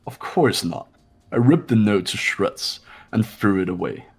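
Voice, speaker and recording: Guy doing an arrogant rich young heir from a game, low voice, cold n dismissive, haughty. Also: animated, measured pace, breathy edge, soft background music, heavily acted. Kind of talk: fan voice-over of visual novel game.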